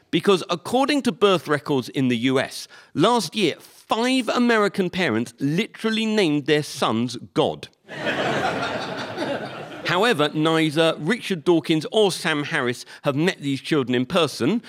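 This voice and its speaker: A man with a Liverpool accent performing a stand-up comedy monologue with a wry, deadpan delivery. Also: on the high side, normal speed. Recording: live recording with audience noise.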